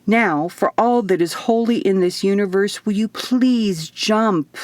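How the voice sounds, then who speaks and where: A woman's voice: medium pitch, unhurried, a little mellow, no echo, natural voice. A woman voicing an exasperated man in an audiobook adventure, pleading and terse. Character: exasperated, urgent man, rebel rescuer.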